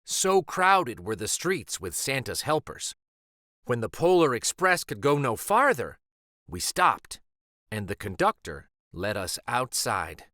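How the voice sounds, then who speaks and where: A man reading a children's picture book aloud as a storyteller, in an expressive, deliberate manner. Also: medium pitch, no echo, clean studio sound.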